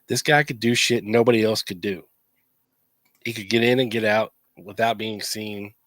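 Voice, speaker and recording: Stuffy male co-host, low and energetic, dry sound. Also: moderate pace, dry recording. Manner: energetic and joking. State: stuffy, coming down with a cold. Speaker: podcast co-host. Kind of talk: casual chat.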